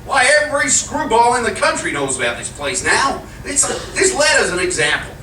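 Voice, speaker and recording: An actor playing an irritable, nervous husband in an old-time radio comedy, blustering and exasperated, recorded live on stage. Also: male voice, very high-pitched, wildly swooping pitch, normal speed, bright, forceful tone, heavily acted. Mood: grumbling. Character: irritable nervous husband trying to sell haunted house. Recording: roomy live stage, distant mic, hiss.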